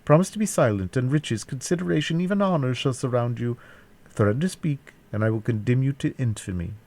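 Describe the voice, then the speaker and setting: Audiobook villain played by a man: aristocratic male captor, mocking, threatening, pitched well above the narrator. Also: lively intonation, fairly quick, a little mellow. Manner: jeering, mock-cajoling, threatening. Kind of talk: classic novel audiobook character voice.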